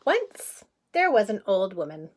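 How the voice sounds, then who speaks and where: A woman's voice: medium pitch, unhurried, slight background noise. A woman teacher reading a picture book aloud to young children, expressively, explaining things simply and asking questions. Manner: asks listeners questions, explains words simply; expressive storytelling, often chuckling. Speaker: kindergarten teacher.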